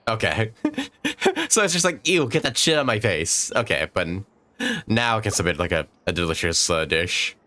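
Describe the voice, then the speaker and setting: A woman's voice: a bass voice, big pitch swings, normal speed, bright, forceful tone. A woman streaming a game, chatting casually with frequent giggles and swearing. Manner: giggly, swears freely, hesitant fillers. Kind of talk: casual gameplay commentary.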